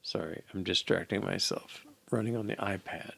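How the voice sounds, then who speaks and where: Older man, low-pitched creaky voice, unhurried, hesitant think-aloud coding commentary, muttering. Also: steady tone, fairly bright tone. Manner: hesitant, muttering to himself, musing. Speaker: programmer live-coding a mobile app. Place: small indoor room at a desk.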